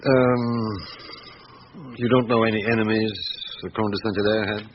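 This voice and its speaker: An actor playing a patient, probing police inspector in a vintage radio drama, deliberate and matter-of-fact with thoughtful hesitations. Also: male voice, on the low side, fairly even intonation, lightly acted. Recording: dull, hissy old radio broadcast.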